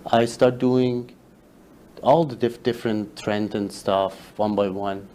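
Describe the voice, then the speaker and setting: A man whose first language is Farsi, a guest telling his story in a casual interview, halting with many fillers and restarts. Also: fairly low pitch, fairly even intonation, warm tone. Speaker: interview guest, social media creator.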